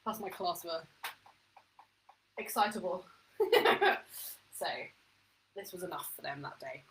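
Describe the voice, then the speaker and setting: A woman's voice: on the low side, expressive, slow, a bit bright, slight background noise, poor audio. A woman teaching a home-education history lesson to camera, chatty and self-deprecating, thinking aloud as she goes.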